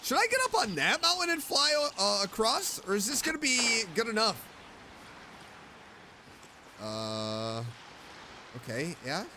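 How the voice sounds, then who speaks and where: A man doing casual gaming commentary, chatting excitedly to himself and his game character. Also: high-pitched, a little slow, pauses often, background noise. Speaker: streamer, video game let's player. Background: game music.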